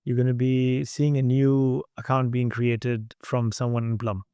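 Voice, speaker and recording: A man's voice: medium pitch, level tone, measured pace, warm tone, clear, resonant tone, dry recording. Male podcast host, dry close sound. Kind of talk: podcast interview.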